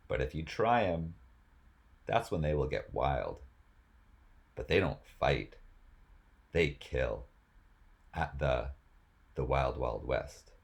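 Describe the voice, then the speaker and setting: A man's voice: rumbling low, vocal fry. A man reading rap lyrics aloud as spoken word, as host of the reading.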